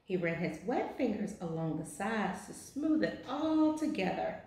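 A woman in her forties reading a children's picture book aloud with deliberate, expressive phrasing in an office. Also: mid-range voice. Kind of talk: children's storybook read-aloud. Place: small indoor office. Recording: very echoey, roomy sound.

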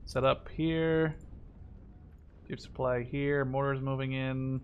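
A man's voice: mid-range voice, fairly even intonation, takes it slowly, narrow, tinny audio, slight background noise. A man in his twenties thinking aloud as he plays a strategy game, muttering casual commentary with some swearing. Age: 20s. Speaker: gamer commentating own play. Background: game gunfire and artillery.

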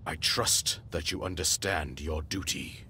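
A man's voice: rumbling low, unhurried, sharp tone, a little hiss or hum, full character voice. A man playing a stern, commanding old king in a fantasy video game. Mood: commanding, urgent.

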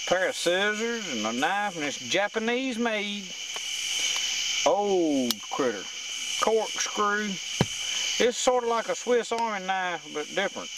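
A man's voice: sits high, big pitch swings, takes it slowly. Folksy man at a woodland campfire. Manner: folksy, chatty, talks to viewers while working. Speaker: outdoors vlogger. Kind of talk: casual camp narration. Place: forest campsite by a fire, outdoors. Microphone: camera mic from a few meters. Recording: distant camera mic, outdoor insect noise. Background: crickets and insects of the woods.

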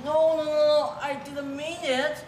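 A woman's voice: high-pitched, flat delivery, measured pace, a little mellow. A young woman with a Taiwanese accent playing an upset teenage girl, the child of an immigrant, in a school play, defensive and frustrated. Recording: echoey hall with noise.

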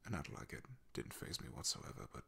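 A man playing a loyal, shy, soft-spoken knight in an ASMR fantasy roleplay, in a hushed, breathy, gravelly voice with a hesitant, halting manner. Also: natural voice. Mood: wistful, reflective.

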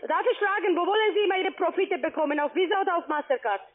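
A non-native German speaker, a woman with a foreign accent, giving a halting phone sales pitch in simple phrases with check-back questions. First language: not German. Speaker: telephone sales agent for an investment scheme. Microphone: telephone. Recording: tinny, narrow phone line.

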